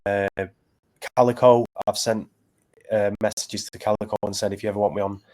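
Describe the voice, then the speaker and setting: Northern english guy, lowish voice, keeps restarting his sentences, earnest chat. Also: little pitch movement, a little mellow. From Preston, England. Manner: earnest, halting with many restarts. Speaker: battle rapper guest. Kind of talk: casual interview chat.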